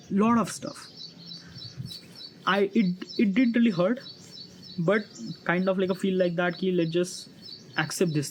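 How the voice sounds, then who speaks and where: A man in his early thirties with an Indian accent, giving an unscripted personal monologue to viewers in a halting, repetitive, filler-heavy way. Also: fairly high pitch. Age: early 30s. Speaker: vlogger. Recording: noisy ambience with birdsong. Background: chirping birds, ambient noise.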